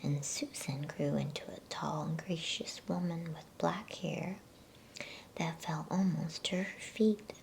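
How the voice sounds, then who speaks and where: A woman reading a storybook in a soft, breathy ASMR whisper, with a soothing, unhurried manner and casual delivery. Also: low voice, a little hiss or hum, poor audio. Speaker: ASMR bedtime story reader.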